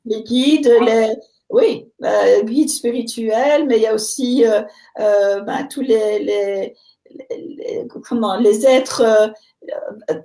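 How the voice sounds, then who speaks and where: A woman's voice: on the high side, slow pace, mellow. A woman born in the United States, interviewing a guest in casual conversation and hesitating with many fillers. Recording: roomy echo.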